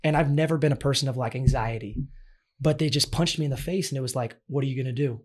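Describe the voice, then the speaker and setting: Young guy coach, voice a bit raspy from yelling, earnest storytelling. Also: medium pitch, quick pace. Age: 20s. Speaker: guest, youth sports coach. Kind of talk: casual interview chat.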